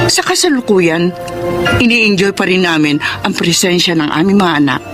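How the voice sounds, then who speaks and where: Actress as devoted middle-aged Filipino wife, gentle, reflective, expressive range, radio drama with music underneath. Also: female voice, on the low side, natural voice. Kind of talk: Filipino radio drama acting and first-person narration. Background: clear dramatic background music.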